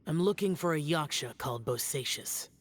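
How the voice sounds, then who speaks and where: A man's voice: on the high side, lively intonation, moderate pace, slight background noise, natural voice. A man voicing a solemn, aloof, youthful immortal warrior in an anime video game, speaking in a grave, terse, restrained way. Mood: somber, resolute.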